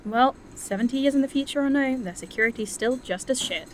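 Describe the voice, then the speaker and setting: An actress playing a sardonic, weary, self-deprecating reluctant time traveler in an audio drama, with a dry delivery. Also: female voice, high voice, fairly quick, faint music behind, lightly acted. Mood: wry, resigned. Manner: dry, sarcastic.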